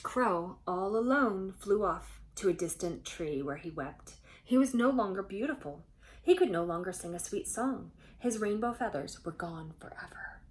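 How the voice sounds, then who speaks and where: A woman reading a storybook aloud to children, expressive and phrase by phrase, with faint background noise. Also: on the low side. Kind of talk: children's storybook read-aloud with chatty asides.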